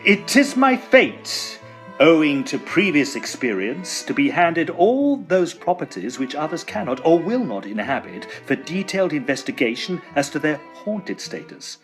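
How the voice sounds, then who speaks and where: A man's voice: a little high, sing-song, measured pace, faint music behind. A man playing a fussy, genial Edwardian estate agent in a tongue-in-cheek audio drama, speaking in wordy, formal, old-fashioned phrases. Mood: measured storytelling. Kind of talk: tongue-in-cheek period audio drama, first-person storytelling and dialogue.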